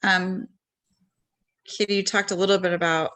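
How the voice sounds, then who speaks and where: A woman's voice: on the low side, level tone, moderate pace, fairly bright tone. A woman talking on a discussion panel as a parent, casual and conversational, with many ums and a voice that trails off at the ends of thoughts.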